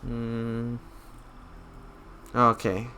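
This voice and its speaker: A man's voice: medium pitch, completely flat delivery, extremely slow, pushed and bright, a little hiss or hum. A man doing casual gameplay commentary in short, muttered asides. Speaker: gamer playing and reacting.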